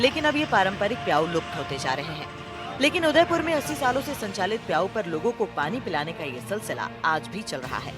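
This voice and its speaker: A man reading a scripted news voice-over in a measured, lively reporting manner. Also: high voice.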